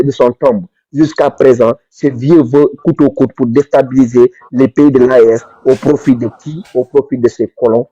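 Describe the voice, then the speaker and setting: A man's voice: medium pitch, warm tone, no room sound. A man from Senegal, giving an impassioned political speech with fervent, repetitive emphasis. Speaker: pan-African activist speaker. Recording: dull dry lo-fi recording.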